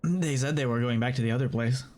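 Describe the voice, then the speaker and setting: A male gaming streamer talks casually as he reacts to a story quest. His voice is mid-pitched, and game music plays faintly behind him. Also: fast, darkish tone. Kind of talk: casual playthrough reaction commentary.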